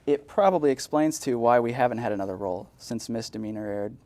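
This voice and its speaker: A man's voice: medium pitch, fairly quick, a little mellow. A man co-hosting a comedy podcast with casual, short bantering remarks. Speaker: podcast co-host.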